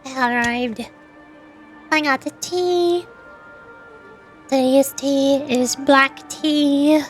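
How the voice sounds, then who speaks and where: A woman's voice: sits high, steady tone, very slow, faint music behind. A tired, sleepy woman chatting casually and rambling on a livestream as a VTuber. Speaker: VTuber streamer and amateur voice actor.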